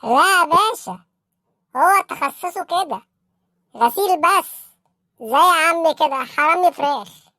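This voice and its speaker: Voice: extremely high pitch, animated, measured pace, long pauses, pushed and bright, no echo, studio quality, exaggerated voice. A performer voicing a naive young street hustler in an Egyptian comedy cartoon, earnest, deferential and matter-of-fact, with an Egyptian accent. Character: naive young street hustler, respectful petty-crime suspect.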